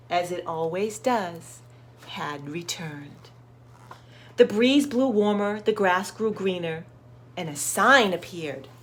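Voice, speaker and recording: Woman, medium pitch, unhurried pace, expressive children's read-aloud, storytime host addressing young listeners. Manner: slow, expressive, sounds out words and asks listeners questions.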